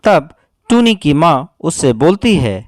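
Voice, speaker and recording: A man's voice: fairly high pitch, very animated, slow pace, dry recording, clean studio sound. A man narrating a children's moral story in a scripted storytelling style.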